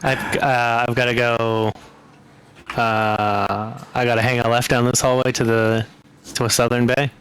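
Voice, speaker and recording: A man's voice: medium pitch, level tone, a little slow, a bit bright, smooth. A man taking part in a tabletop game as a player, casually talking through his character's moves. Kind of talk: casual chat, describing his character's actions.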